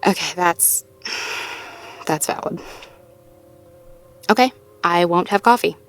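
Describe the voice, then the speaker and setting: A woman's voice: fairly low pitch, slow. A woman playing a sweet, self-doubting, playfully wry girlfriend in an intimate audio roleplay, talking casually and conversationally with a partner. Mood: wry, conceding. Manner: conversational, casual, with sighs and soft chuckles. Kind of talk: intimate slice-of-life audio roleplay acting, one-sided conversation.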